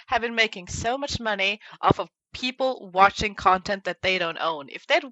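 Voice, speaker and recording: A woman's voice: medium pitch, lively intonation, moderate pace, pushed and bright, dry recording, highs cut off. A woman co-hosting a podcast, telling stories in casual chat and acting out other people's reactions. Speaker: podcast co-host.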